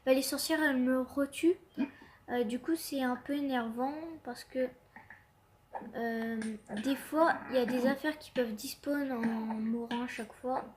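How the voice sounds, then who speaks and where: A woman's voice: sits high, fairly even intonation, a little slow, a little hiss or hum. A young woman hosting casual Minecraft commentary with a stuffy cold, hesitant and full of fillers and restarts. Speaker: gaming channel host.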